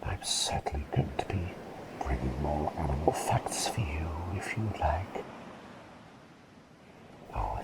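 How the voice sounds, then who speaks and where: A man's voice: a bass voice, heavily acted, poor audio. Man as a whispering, eccentric, kindly plague doctor. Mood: soothing. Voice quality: breathy whisper with creaky edge. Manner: gentle, soothing, repeats phrases, quaintly effusive. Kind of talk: ASMR roleplay, whispered personal attention.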